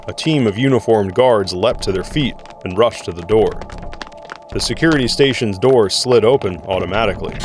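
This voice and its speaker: A man reading scripted narration for an audio drama. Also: on the low side. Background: music.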